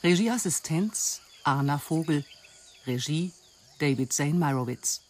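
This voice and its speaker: A woman's voice: deep voice, lively intonation, unhurried. A woman announcer reading scripted radio drama credits.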